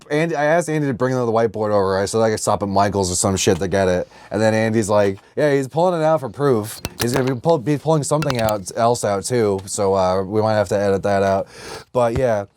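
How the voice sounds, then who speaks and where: A man with a fairly low voice, recorded dry and close, bantering as a podcast co-host. He rambles casually, swears freely and keeps an irreverent, joking tone. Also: a little mellow, clean tone, no echo. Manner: irreverent, profanity-laden, rambling.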